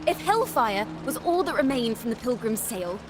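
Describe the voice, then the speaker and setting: A woman's voice: a little high, very animated, moderate pace, background noise. A woman playing a bold, defiant young poet and orator in a video game, speaking in an earnest, theatrical, declamatory way. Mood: dramatic, resolute. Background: background music.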